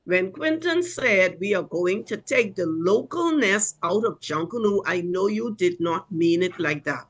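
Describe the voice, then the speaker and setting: A woman with a Bahamian accent talking in a measured, emphatic, conversational way. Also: mid-range voice, animated, slightly rough. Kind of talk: conversational panel talk.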